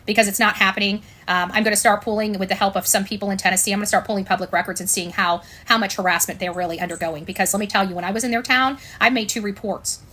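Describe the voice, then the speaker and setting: Woman, American accent, mid-range pitch, informal livestream commentary, blunt, sarcastic, emphatic repetition. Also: steady tone, fast, hardly any pauses, sharp tone. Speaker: online commentator and show host.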